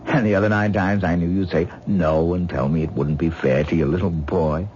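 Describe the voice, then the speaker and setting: An actor playing a dreamy mystic man, deep voice. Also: fairly even intonation, moderate pace, lightly acted. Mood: insistent. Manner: dreamy, earnest, lecturing, gently condescending. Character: intense mystical male actor obsessed with astral projection. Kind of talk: 1970s radio drama acting. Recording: muffled old radio broadcast with faint hiss.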